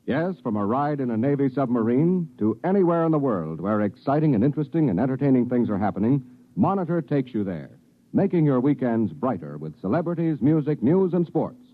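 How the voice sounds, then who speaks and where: A man's voice: medium pitch, very animated, a little fast. A man reading an enthusiastic scripted radio promo. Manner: enthusiastic, salesy. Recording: thin telephone-like vintage radio.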